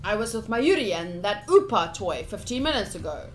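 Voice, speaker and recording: Female game streamer, mid-pitched, expressive dramatic reading with casual asides, faint music behind. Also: moderate pace. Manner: expressive, playful, theatrical reading. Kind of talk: dramatic read-aloud of visual novel with casual commentary.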